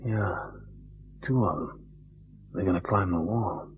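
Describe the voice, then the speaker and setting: An actor playing a tough, quick-witted private detective who talks in clipped slang, in old-time radio drama style. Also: male voice, low voice, breathy edge, faint music behind. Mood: hushed, urgent. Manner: clipped tough-guy slang, calls partner baby. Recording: dull, noisy vintage radio recording with hum.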